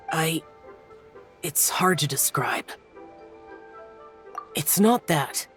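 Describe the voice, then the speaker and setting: Terse, aloof young guardian. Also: male voice, on the high side, very slow, long pauses, fairly bright tone, soft background music. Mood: reflective. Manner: terse, reserved. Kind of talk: anime game voice acting.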